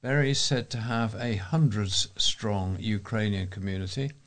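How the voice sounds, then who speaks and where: Man, British accent, lowish pitch, volunteer newsreader, reading local news and letters aloud. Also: moderate pace.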